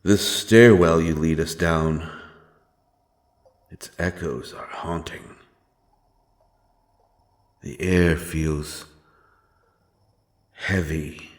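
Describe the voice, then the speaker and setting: A grim, doomed dark fantasy avenger, acted by a man with a very low voice. He speaks slowly and solemnly, brooding over his words and leaving heavy pauses, as in a dramatic audio roleplay. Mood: uneasy, brooding. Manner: brooding, solemn, halting delivery with weighty pauses.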